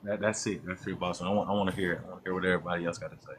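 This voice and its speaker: A man's voice: sits low, flat delivery, racing, a bit bright, breathy edge. A man calling into a live stream, giving his opinion in an emphatic, repetitive, preachy way. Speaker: caller. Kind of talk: opinionated call-in talk.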